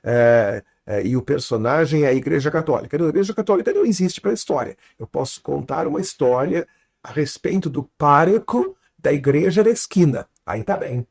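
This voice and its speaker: A man speaks with a foreign accent picked up in southern Brazil. His voice is gravelly and sits a little high for a man. He teaches in an animated way, checking in with short tags and dropping into quiet asides. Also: fairly quick. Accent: foreign, learned in southern Brazil. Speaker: storytelling consultant, guest. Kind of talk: interview, explaining with examples.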